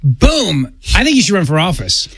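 A man's voice: high voice, huge pitch swings, normal speed, a bit bright, husky, dry recording, studio quality. A man hosting a conversational political talk radio show, chiming in with short, punchy affirmations.

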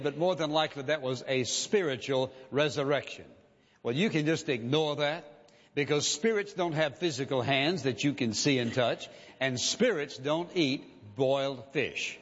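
A pastor delivering a sermon, speaking in a measured way and slowing down to stress key words. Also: male voice, medium pitch, fairly bright tone. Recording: dull lo-fi recording with long reverb.

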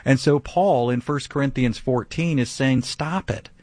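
A man's voice: medium pitch, unhurried, a little mellow, no echo. Emphatic male radio Bible teacher. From grew up speaking some Vietnamese. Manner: emphatic, rhetorical questions. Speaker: pastor.

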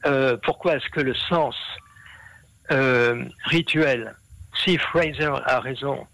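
A man's voice: medium pitch, slow, pushed and bright, dry recording, low-quality recording. A man explaining ideas at length in an interview, with many fillers and restarts. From half Brazilian. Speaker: interview guest, scholar.

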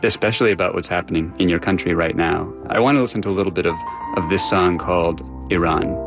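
A male interviewer with a lowish voice who introduces his guest in scripted narration. His delivery is measured and calm. Faint music sits behind him. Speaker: radio interviewer.

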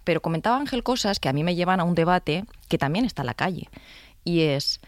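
A woman's voice: lowish voice, expressive, no room sound, studio quality. A woman, arguing a political point as a commentator on a radio panel. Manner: fast, forceful argumentation. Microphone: studio microphone.

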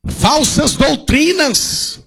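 A man with a very high-pitched voice preaches at a church service, drawing out his words at an unhurried, deliberate pace. He delivers an impassioned sermon as the pastor, asking rhetorical questions and punctuating his points with cries of hallelujah. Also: animated, creaky, fairly bright tone, a little hiss or hum.

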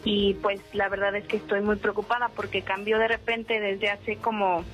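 A woman's voice: medium pitch, level tone, moderate pace, fairly bright tone, soft background music. A woman, calling in hesitantly and deferentially for advice. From El Salvador. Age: 50s. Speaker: caller seeking advice. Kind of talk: recounting a personal problem.

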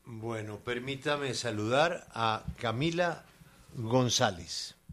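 A man with a Colombian accent hosting a live news radio show and interviewing guests. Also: mid-range voice.

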